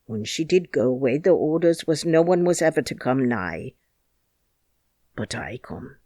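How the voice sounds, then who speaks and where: Woman voicing a gruff old Yorkshire gardener. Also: rumbling low, animated, dark tone, dry recording, heavily acted. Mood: wistful. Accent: Yorkshire dialect, put on. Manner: dry, grumbling, slow. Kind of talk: audiobook character reading.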